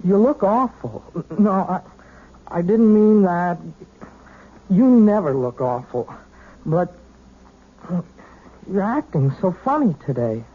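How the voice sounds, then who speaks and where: A young actor in his twenties playing an earnest, lovesick male piano student in a 1970s radio drama, halting and stammering. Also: sits high, expressive, breathy edge, exaggerated voice. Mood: concerned. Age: 20s. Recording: tinny lo-fi old radio broadcast.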